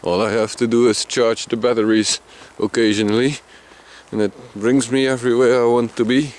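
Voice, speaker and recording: A man from Limburg with a Dutch accent and a deep voice, chatting casually to his camera outdoors while out on a bicycle trip, sometimes mumbling a little. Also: flat delivery, normal speed, darkish tone. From Limburg, Netherlands. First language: Dutch Limburg dialect. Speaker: vlogger. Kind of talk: casual outdoor vlog narration. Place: outdoors in flat open countryside.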